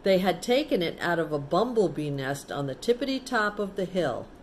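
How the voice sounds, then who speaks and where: A woman teacher reading a picture book to children, pausing to explain things simply and ask the young listeners questions. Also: on the low side, very animated, slight background noise. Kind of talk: storybook read-aloud with chatty asides to children.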